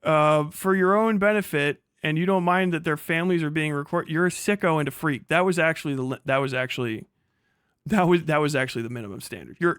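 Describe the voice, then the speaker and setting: A man's voice: fairly high pitch, fairly bright tone, smooth, no echo, studio quality. A man livestreaming combative, off-the-cuff reaction commentary, indignant and mocking, swearing heavily and repeating phrases for emphasis.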